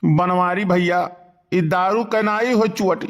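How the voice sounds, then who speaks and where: A man's voice: high-pitched, steady tone, unhurried. A man voicing an aggrieved, hard-drinking villager with an Awadhi accent in a cartoon comedy, complaining and protesting. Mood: indignant.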